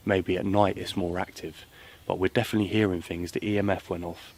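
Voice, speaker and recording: A man's voice: sits low, level tone, a little fast, vocal fry, darkish tone. A man hosting a paranormal investigation vlog, talking casually as he explores. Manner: addresses viewers and calls out questions to spirits. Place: old stone tower and muddy surroundings. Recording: noisy handheld camera audio with rustling.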